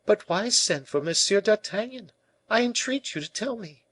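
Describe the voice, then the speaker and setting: A high, expressive young noblewoman's voice put on by a man in a period audiobook. She is timid by nature yet fiercely devoted, speaking in earnest, impassioned, formal phrases. The sound is close and dry. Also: a little slow, no echo. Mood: pleading.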